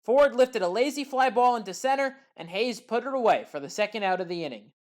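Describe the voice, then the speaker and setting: A woman giving a scripted play-by-play recap of baseball highlights in a measured, factual way. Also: lively intonation, darkish tone. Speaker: highlights commentator.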